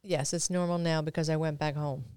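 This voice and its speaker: A woman from Brooklyn chatting casually as an interview guest, animated and quick to interject. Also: low-pitched, clear, resonant tone, no echo, clean studio sound. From Brooklyn, New York. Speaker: podcast guest.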